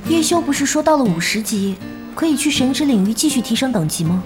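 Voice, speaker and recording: A woman's voice: sits high, animated, quick pace. A woman playing a stubborn, determined young novice gamer in an audio drama, with a resolute manner. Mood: curious. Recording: music bed under the dialogue.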